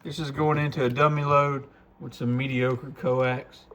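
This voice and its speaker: A man's voice: medium pitch, little pitch movement, a little slow, a bit bright, slight background noise. A man giving a casual, plainspoken hands-on product walkthrough, explaining things step by step in a folksy way. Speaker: radio shop owner presenting a product demo. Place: radio shop, indoors.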